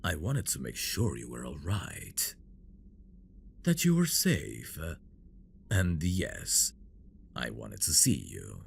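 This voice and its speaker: A man playing a possessive, teasing fantasy villain devoted to his bride in an intimate ASMR audio drama, with a gravelly murmur that often drops into breathy whispers. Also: rumbling low, wildly swooping pitch, slight background noise, heavily acted. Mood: tender, longing. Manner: slow, teasing, seductive delivery.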